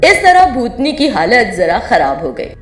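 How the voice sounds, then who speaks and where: Animated woman storyteller over music. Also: a little high, a little slow. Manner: expressive storytelling. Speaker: narrator. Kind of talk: scripted children's story narration.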